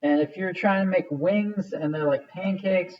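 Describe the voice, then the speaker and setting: A man's voice: sits high, normal speed. A man giving a casual, step-by-step clay modeling lesson with many fillers, recorded from some distance. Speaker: art teacher. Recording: muffled sound from a distant mic.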